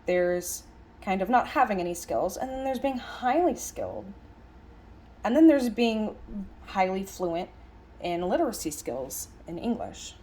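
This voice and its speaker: A woman voicing a lecture as an interpreter, conversational, speaking in halting phrases with stress on key words. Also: medium pitch, animated, a little mellow. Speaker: sign language interpreter voicing a signed lecture.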